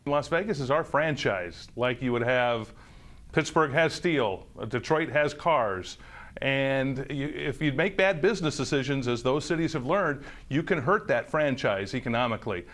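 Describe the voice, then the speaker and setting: A male spokesman making an earnest, deliberate point in an interview clip. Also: mid-range voice, animated, a bit bright.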